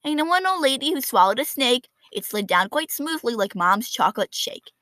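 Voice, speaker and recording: A woman's voice: high voice, sing-song, moderate pace, sharp tone, no room sound, clean studio sound. A woman reading a children's picture book aloud expressively, with a sing-song rhyming delivery.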